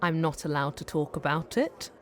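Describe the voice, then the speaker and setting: A woman's voice: sits low, moderate pace, natural voice. A woman playing a successful young British stage actress, a frank, teasing and affectionate friend, in a naturalistic audio drama. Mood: warm, chatty.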